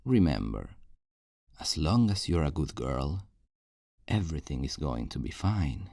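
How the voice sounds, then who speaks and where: A man's voice: very deep voice, very animated, vocal fry, dark tone, no echo, clean studio sound, exaggerated voice. A man playing a possessive, falsely tender kidnapper in an intimate ASMR roleplay, coaxing and condescending with veiled menace. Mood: quietly threatening. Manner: slow, coaxing, condescending endearments with veiled threats.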